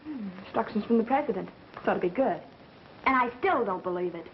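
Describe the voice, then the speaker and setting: A woman's voice: medium pitch, lively intonation, brisk, natural voice. An actress playing a feisty, sarcastic, skeptical woman who trades snappy wisecracks in a 1930s mystery film. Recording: muffled, hissy 1930s film soundtrack.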